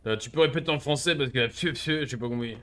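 A man's voice: medium pitch, fast talker, heavy background noise. A man in his thirties chatting casually while streaming a role-play game, with a mocking, sarcastic and crude manner. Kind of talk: casual gaming banter.

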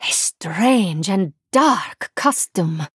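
A woman voicing a solemn, devout alien priestess of the light for a video game, formal and measured in her phrasing. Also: mid-range voice, lively intonation, fairly bright tone, slightly rough, no echo, studio quality, full character voice. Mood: subdued, grave. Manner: formal, measured, deliberate phrasing without contractions. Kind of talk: video game character voice lines.

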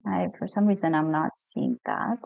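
A middle-aged woman from Ethiopia, a mental health coach hosting a live stream Q&A in a relaxed, chatty way, reading viewer comments aloud with many fillers. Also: low-pitched, normal speed, vocal fry, no echo. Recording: dull lo-fi stream audio, dry.

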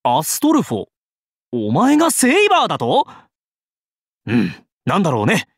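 An actor playing an excitable, brash young knight in a game, comically earnest and exclamatory. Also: male voice, a little high, normal speed, gravelly, slightly rough, no echo, clean studio sound, full character voice. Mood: bemused, casual. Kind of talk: scripted game character dialogue.

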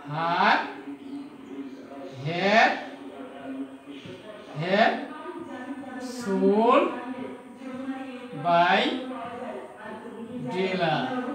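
Slow, echoey male teacher. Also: high-pitched, a bit bright. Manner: deliberate, repetitive, addressing viewers directly. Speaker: English grammar teacher. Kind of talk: whiteboard lesson to camera. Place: small indoor room at a whiteboard. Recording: very echoey room, distant-sounding voice.

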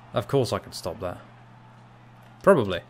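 A young man doing casual, chatty live commentary on a fighting game from a small room at home, shouting out reactions and laughing with viewers. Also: sits low, slight background noise. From half Cypriot. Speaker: gaming streamer.

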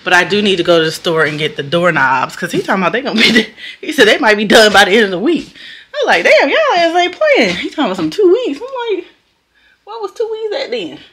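Middle-aged woman, vlogger, rambling casual chat, offhand swearing, frequent laughs, bright voice, very animated pitch. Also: a little fast, husky. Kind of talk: casual vlog chat to camera.

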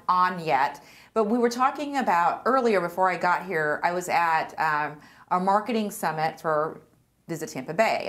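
A woman in her forties hosting a business talk show from a TV studio, chatty and quick to laugh at herself. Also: on the low side, fairly bright tone.

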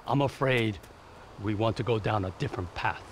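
A man's voice: lowish voice, normal speed, slight background noise, lightly acted. Man playing a polite, evasive gangster's go-between. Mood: nervous politeness. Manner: formal, apologetic, guarded. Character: polite, evasive male go-between for a crime boss. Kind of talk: video game cutscene acting.

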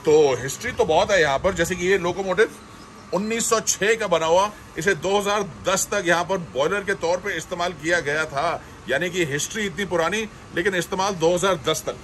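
A man's voice: high-pitched, moderate pace, fairly bright tone, slight background noise. A man co-hosting a travel show, presenting the history of a place outdoors in an upbeat, casual way. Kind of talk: casual travel vlog narration. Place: outdoors at brewery yard.

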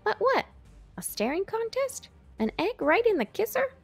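Woman voicing an eager squeaky-high little chick. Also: very animated, normal speed, creaky, soft background music, exaggerated voice. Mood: pondering. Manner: eager, exclaiming, questioning. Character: excitable young male chick, adoring, eager hero-worshipper.

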